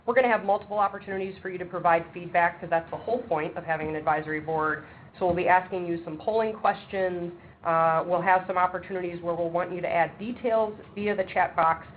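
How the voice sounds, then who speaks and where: Lady running a webinar on speakerphone, lots of ums, tinny phone sound, bit of hiss. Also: on the low side. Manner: says she has a loud voice; brisk, practical, with frequent ums. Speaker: webinar chairperson. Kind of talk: webinar presentation. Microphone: speakerphone on a table. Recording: tinny phone line with faint background noise.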